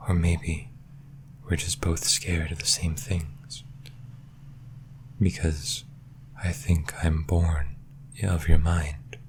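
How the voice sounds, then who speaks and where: Man voicing a very deep, hushed, lonely demon. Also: noisy background. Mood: hushed, wistful, then hesitant, weary. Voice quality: very deep, breathy, often dropping into whisper. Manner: slow, hesitant, hushed, intimate. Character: lonely, clingy male sleep paralysis demon, shy and wistful. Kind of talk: intimate audio roleplay monologue.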